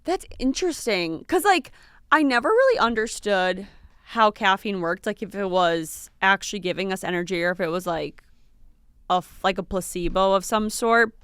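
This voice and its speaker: A woman's voice: medium pitch, animated, moderate pace, fairly bright tone, clear, resonant tone, no echo, studio quality. A woman in her late twenties co-hosting a podcast, chatting casually with lots of fillers and little laughs. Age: late 20s. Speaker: podcast co-host. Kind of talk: casual chat.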